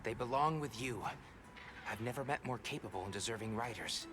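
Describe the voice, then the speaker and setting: An actor playing a kind, grateful villager in a video game, speaking sincerely and graciously. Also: male voice, medium pitch, fairly quick, natural voice. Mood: warm, sincere. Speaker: game character. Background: game music.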